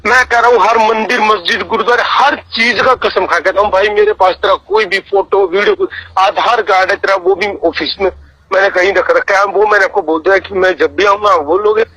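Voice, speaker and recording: Man, high voice, bright edge, tearful, distressed, pleading, repetitive, recorded phone call argument. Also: fairly even intonation, brisk, husky, dry recording. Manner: pleading, repetitive, swearing oaths. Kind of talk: emotional private phone argument. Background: phone call audio.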